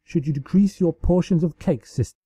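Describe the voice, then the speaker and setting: A man's voice: fairly high pitch, normal speed, warm tone, breathy edge, no room sound, pitched above the performer's own voice. A man voicing a mischievous younger pony princess in a storybook, with archaic, theatrical, taunting speech. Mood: cheeky, mock solemn. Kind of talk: amateur storybook character reading.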